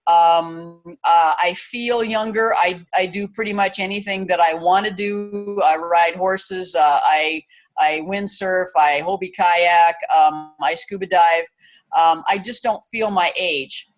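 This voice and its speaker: A woman's voice: fairly low pitch, steady tone, slow, dull audio, faint music behind. A woman in her sixties, a wellness consultant talking casually on a panel, chatty and often restarting her sentences. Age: 60s. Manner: chatty, with frequent restarts and fillers.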